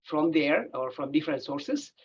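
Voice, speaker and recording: A man with an Ethiopian accent, whose first language is not English, presenting as an endocrinologist in a webinar, halting and often pausing with filler sounds. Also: fairly high pitch, lively intonation, breathy edge, muffled recording. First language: not English; English is his third language. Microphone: video call.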